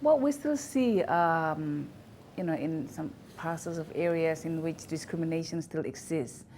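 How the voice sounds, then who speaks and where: A woman from Indonesia with an Indonesian accent, answering interview questions in a halting way with many fillers and restarts. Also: deep voice, warm tone, slight background noise. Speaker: interview guest, institute director. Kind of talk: recorded news interview.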